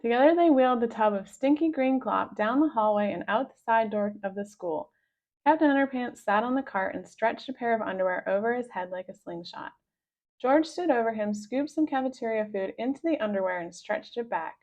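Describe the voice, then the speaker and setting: A woman reading aloud a children's story with expressive scripted narration, on a slightly dull recording. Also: fairly high pitch, a little fast. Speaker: storybook reader.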